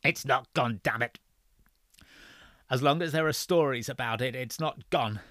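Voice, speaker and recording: A man reading the part of a frail, stubborn old man near death, speaking gruffly and insistently. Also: medium pitch, a little slow, a bit bright, dry recording, clean studio sound, voice pushed up, close to an everyday voice. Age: older.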